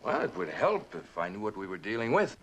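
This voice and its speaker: A smooth corporate right-hand man in an old TV drama. His low voice moves widely in pitch, polished on top, with condescension and quiet menace underneath. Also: wildly swooping pitch, brisk, a little hiss or hum, full character voice. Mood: cool. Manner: polished, controlled, veiled menace. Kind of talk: mid-century American television drama acting.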